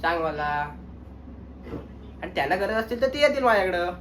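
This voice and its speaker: A man's voice: high-pitched, big pitch swings, fairly quick, a bit bright. A young man with a Konkan Marathi accent, chatting casually in a playful, teasing, bantering way. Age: young adult. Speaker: vlogger making a phone call. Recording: roomy echo, faint background noise.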